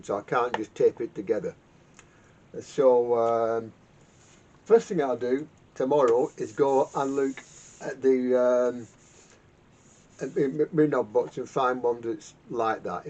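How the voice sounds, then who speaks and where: A man giving a casual, unscripted repair demonstration in a halting, rambling way, often repeating words as he explains. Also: mid-range voice, a little mellow. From United Kingdom. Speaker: vintage radio repairer and video host. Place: home garage workshop. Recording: dull lo-fi sound with clear background noise.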